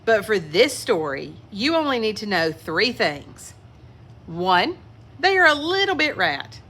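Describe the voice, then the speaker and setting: A woman's voice: mid-range voice, sing-song, unhurried, fairly bright tone, slightly rough. A woman reading a children's picture book aloud expressively, with asides to young listeners. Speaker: children's story reader.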